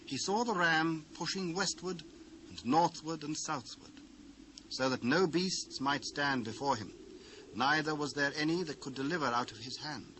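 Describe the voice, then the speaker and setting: A man's voice: a little high, moderate pace, pushed and bright, slight background noise, poor audio. A British man narrating scripture in a solemn, formal storytelling manner for a dramatised reading.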